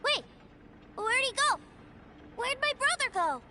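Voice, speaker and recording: A woman voicing an excited, playful little boy in a game, gushing with eager excitement. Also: extremely high pitch, slow, heavy background noise, exaggerated voice. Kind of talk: video game voice acting. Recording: game audio with music behind.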